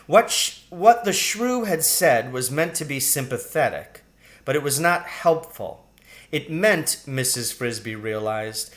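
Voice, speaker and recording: A man with a medium-pitched voice reads a children's story aloud. His delivery is very unhurried and deliberate, with expressive storybook phrasing. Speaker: audiobook reader.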